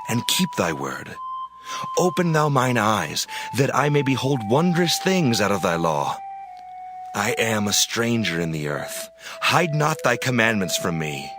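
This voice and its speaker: A man giving a dramatized reading of scripture. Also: on the low side. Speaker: scripture reader. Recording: reading over a music bed.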